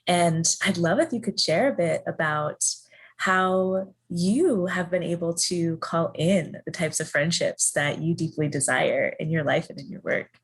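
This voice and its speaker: A woman's voice: fairly low pitch, measured pace, slightly rough. A woman hosting an interview, asking questions in an affirming, enthusiastic way. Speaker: podcast host.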